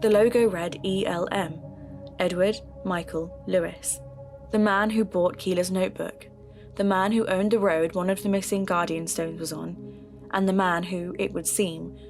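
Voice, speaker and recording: Female podcast narrator played by an actress, earnest and determined, measured confiding delivery for mystery audio drama, music bed. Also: lowish voice, steady tone, natural voice. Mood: upset, reflective. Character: earnest, determined young woman podcaster investigating folklore mysteries. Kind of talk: scripted first-person audio drama narration.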